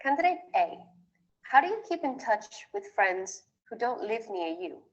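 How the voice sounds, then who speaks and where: Woman examiner with a lively, lifting voice. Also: a little high, muffled recording. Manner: formal, measured, rising on each question. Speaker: mock exam examiner. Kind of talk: reading scripted exam prompts.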